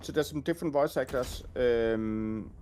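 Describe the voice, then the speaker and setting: A man working as a game audio designer, talking casually and haltingly while showing a game. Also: mid-range voice, over music. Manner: hesitant, many fillers and restarts.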